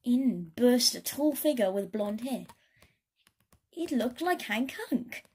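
A woman storyteller giving an expressive read-aloud of a children's story. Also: on the high side, takes it slowly, breathy edge.

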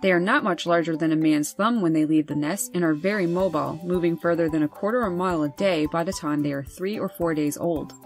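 A woman host reading scripted nature narration over wildlife footage in a measured, informative way. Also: lowish voice, faint music behind. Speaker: birding channel host.

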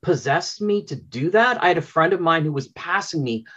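A man's voice: a little high, expressive, moderate pace, a bit bright, lo-fi sound. A middle-aged man from Canada, an interview guest telling stories conversationally on a video call. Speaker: interview guest, audio drama producer. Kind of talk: casual conversational storytelling. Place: small home room with bookshelves.

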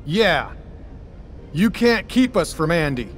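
Casting: plucky male toy cowboy for a cartoon game, high, unhurried, defiant, music behind. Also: very animated. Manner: earnest, defiant. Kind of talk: animated video game acting.

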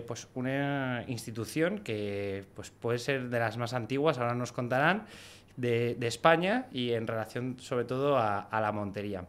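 A man's voice: medium pitch, clean tone. A young man hosting a podcast, opening the episode in a casual, hesitant way full of fillers. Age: young adult. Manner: hesitant, many fillers, friendly. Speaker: podcast host. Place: podcast studio, indoors. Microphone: studio mic on a boom arm, with headphones.

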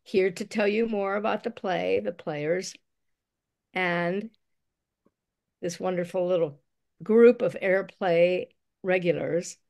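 A woman playwright introducing the play and her co-host over a video call. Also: fairly low pitch. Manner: slow, gravelly, relaxed. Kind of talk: casual introduction. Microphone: laptop on video call.

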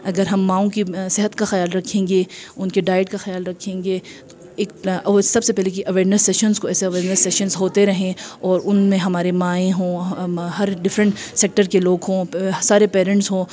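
A Pakistani woman with a warm, dark-toned voice speaks as a government department representative at an indoor event. She explains things informally into a handheld microphone, pausing to search for words and slipping in English terms. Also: mid-range voice, little pitch movement. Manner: hesitant, with frequent filled pauses and English terms mixed in. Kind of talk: informal public-awareness interview statement to camera. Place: indoor event hall, in front of a banner. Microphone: handheld reporter microphone.

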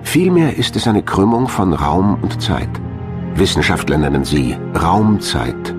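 A man's voice: low-pitched, very animated, a little slow. A man reading a scripted science documentary narration as its narrator. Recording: loud music bed under narration. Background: loud background music.

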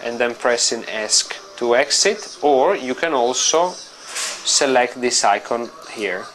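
A middle-aged man with an Italian accent, a native Italian speaker, walking through how to use a software application in an office, explaining in a relaxed way with frequent fillers and restarts. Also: mid-range voice, slow pace, slight background noise. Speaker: company representative demonstrating software. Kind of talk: product walkthrough.

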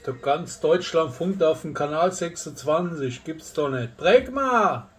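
Slow high-voiced man calling on CB radio. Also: expressive. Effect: heard over CB radio. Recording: distant, very noisy CB transmission.

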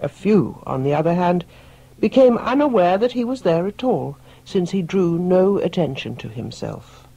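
A storyteller reading a tale as an audiobook, in a soft, breathy voice with measured delivery and dramatic pauses. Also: medium pitch, expressive, poor audio. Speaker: storyteller narrator. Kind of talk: scripted audiobook story narration.

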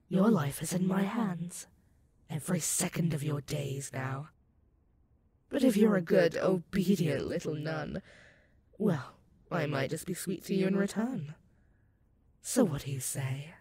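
A woman's voice: low-pitched, background noise. A woman playing an ancient, sadistic and possessive female werewolf demon in a roleplay audio, purring menace and mocking pet names at the listener. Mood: menacing, possessive. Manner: slow, teasing, purring menace with mocking endearments.

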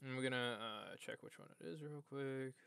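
Bright, clear-voiced male game streamer. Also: medium pitch, normal speed, dry recording. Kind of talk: casual livestream commentary while playing a video game.

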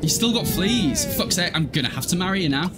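A young man streams a game from a room at home, chatting casually with viewers. His voice sits a bit high and moves around a lot in pitch. He is jokey and irreverent, swearing freely, with faint music playing behind him. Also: expressive, brisk. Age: young adult. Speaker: livestreamer playing a life-simulation game. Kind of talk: casual game livestream commentary and chat. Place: small room at home, indoors.

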